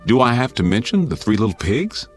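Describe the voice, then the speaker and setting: An American actor playing a wry middle-aged doctor, mock-grumpy and gruffly affectionate, in a radio drama. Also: male voice, mid-range voice, very animated, brisk, faint music behind, lightly acted. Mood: wry, heartfelt.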